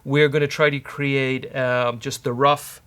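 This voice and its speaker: A man with an Irish accent hosting a casual live-coding demo, dry, teasing and halting. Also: medium pitch, little pitch movement, a bit bright, clean tone. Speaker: host, software developer.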